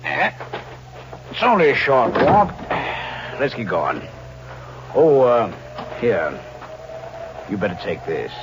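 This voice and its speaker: A sly male schemer in an old radio thriller, coaxing and needling with false friendliness. His mid-pitched voice leaps and slides dramatically. The vintage recording is dull, with faint hiss. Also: wildly swooping pitch, slow, long pauses, exaggerated voice. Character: sly, fat scheming crook plotting his uncle's murder. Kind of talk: 1940s radio acting.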